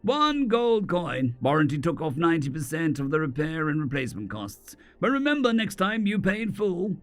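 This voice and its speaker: A man's voice: fairly high pitch, very animated, normal speed, faint music behind, pitched above the performer's own voice. A man voicing a nervous, exasperated male shopkeeper enchanter.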